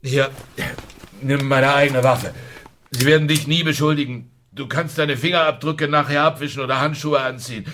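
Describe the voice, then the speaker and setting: A man playing a desperate Soviet secret police chief in a radio play. His voice is of middling pitch. He speaks with fear and intensity, halting as if cornered. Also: steady tone, fairly bright tone, slight background noise, natural voice. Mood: desperate, confiding. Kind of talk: radio drama dialogue.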